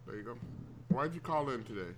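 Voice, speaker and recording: A man's voice: medium pitch, expressive, brisk. A man hosting a casual call-in radio show, teasing and joking with a caller. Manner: teasing, joking with his mother.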